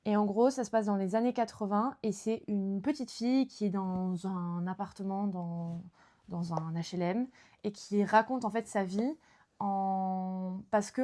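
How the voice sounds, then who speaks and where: A woman's voice: medium pitch, warm tone. Chatty young woman streamer. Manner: chatty, lots of fillers and restarts. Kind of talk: casual chat about books.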